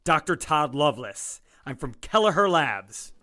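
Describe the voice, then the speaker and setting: A man's voice: a little high, very animated, a little slow, background noise. A man voicing a stammering, shaken scientist. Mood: hesitant. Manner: hesitant and stammering, formal. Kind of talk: audio drama acting.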